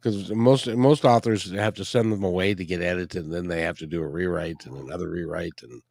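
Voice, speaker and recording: A man's voice: low-pitched, fairly quick, creaky, darkish tone, no room sound, studio quality. A man hosting a podcast interview, asking rambling questions with stammers and restarts. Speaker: podcast host.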